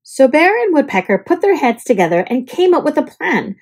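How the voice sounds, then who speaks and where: A woman reading a children's picture book aloud in an expressive, scripted storytelling style. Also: sits high, a little fast. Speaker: storybook reader.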